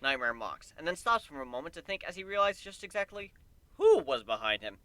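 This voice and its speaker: A man narrates a story in the first person as a dramatic reading. His voice sits a little high and swings widely in pitch, with a bright edge. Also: very animated, fairly quick, no room sound.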